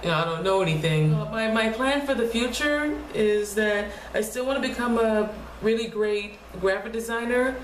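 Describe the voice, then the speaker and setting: Soft-spoken young woman with a deep voice. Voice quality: low, deep voice. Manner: halting, restarts often, soft and quiet. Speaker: vlogger. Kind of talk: casual personal vlog. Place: home room. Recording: echoey room with faint noise.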